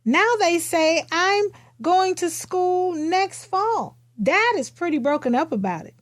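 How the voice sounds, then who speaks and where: A quiet, earnest kindergarten girl tells her storybook tale , read by a woman. The voice is high and the phrasing simple and expressive. It sounds dry, with hardly any room. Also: takes it slowly, dry recording, full character voice. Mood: earnest, matter-of-fact.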